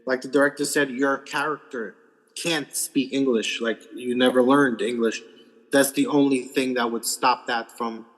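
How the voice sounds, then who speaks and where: A man's voice: mid-range voice, steady tone, moderate pace, fairly bright tone. Guy director rambling on a video call, lots of restarts, echoey room. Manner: loose, rambling, many restarts. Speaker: film director. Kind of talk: casual panel chat.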